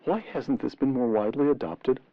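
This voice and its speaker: A middle-aged man interviewing in a deliberate, rhetorical and emphatic way, on a lo-fi vintage radio style recording. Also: medium pitch. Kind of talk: long-form interview questioning. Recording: dull, lo-fi vintage radio sound.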